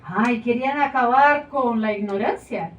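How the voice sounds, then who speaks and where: An actress playing a radio show presenter on stage, with a brisk announcer manner and wry asides. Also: female voice, a little high, dull audio, natural voice. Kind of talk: stage acting of a radio broadcast. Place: small theater stage. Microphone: far camera. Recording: echoey room from far off, faint noise.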